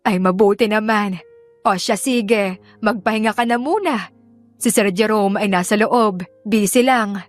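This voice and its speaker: A woman's voice: medium pitch, unhurried, no room sound, faint music behind, close to an everyday voice. A woman voicing a kindly older head housekeeper in an audiobook drama, familiar and motherly. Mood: welcoming.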